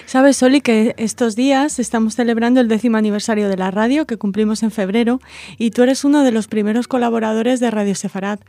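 High-voiced woman radio host from a dry studio. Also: steady tone, a little fast, warm tone, no echo. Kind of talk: conversational radio interview.

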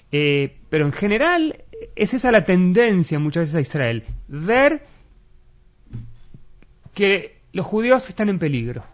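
A man from Argentina with an Argentine accent gives explanatory political analysis in an interview, often searching for words and repeating key ones for emphasis. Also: fairly high pitch. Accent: Argentine Spanish. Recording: dull, lo-fi muffled sound.

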